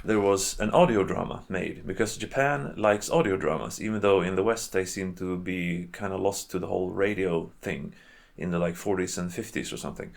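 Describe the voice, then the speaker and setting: A man hosting a video in casual, unscripted commentary, with a halting, rambling and hedging way of talking. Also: sits low, creaky. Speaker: video host reviewing games and adaptations.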